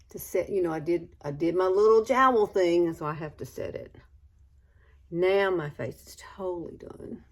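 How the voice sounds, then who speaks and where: A woman's voice: medium pitch, darkish tone. A woman, chatting casually through a makeup tutorial and calling viewers girl and y'all. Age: 60s. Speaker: beauty vlogger.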